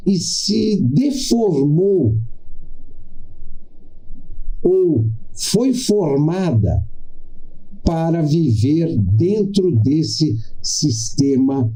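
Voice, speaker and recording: A middle-aged Brazilian man giving a political commentary monologue in a deliberate, didactic way, stressing points with repetition and pauses. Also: a little high, warm tone, poor audio. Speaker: podcast host.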